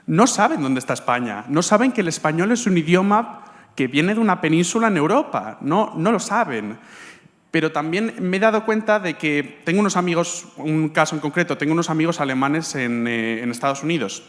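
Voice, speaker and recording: A man from Spain with a Spanish accent asking a question from the audience, earnest and halting, with many fillers. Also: a little high, animated. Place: lecture hall. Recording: long hall reverb.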